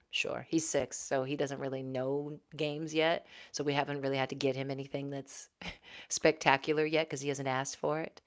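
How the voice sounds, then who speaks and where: A woman in her forties from Texas, a former news anchor, chatting casually and reading out viewer comments on her livestream. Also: low-pitched. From Houston, Texas. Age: 40s. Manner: chatty, reads out viewer comments, laughs often. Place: home.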